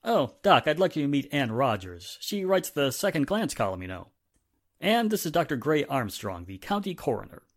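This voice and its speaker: A man plays an affable, businesslike police inspector for an old-time radio play. His medium-pitched voice has very lively inflection. He sounds confident and in command. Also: normal speed. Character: brisk, affable male police inspector, in charge. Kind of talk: 1940s radio drama acting.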